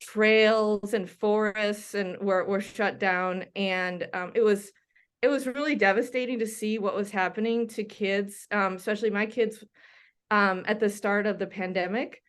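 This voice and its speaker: A woman's voice: medium pitch, fairly even intonation, unhurried, smooth. A middle-aged woman from Denmark, a doctor and researcher, talking through her background as an interview guest, with frequent ums and restarts. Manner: chatty, many ums and restarts. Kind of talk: conversational interview.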